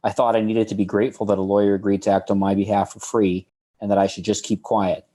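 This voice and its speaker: Male reader, lowish voice, sober measured testimony narration. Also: level tone, mellow.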